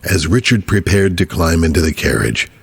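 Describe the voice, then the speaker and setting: A man narrating a scripted horror audio drama in an ominous, deliberate manner. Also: deep voice, lively intonation, vocal fry, slight background noise. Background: ambience, horse hooves.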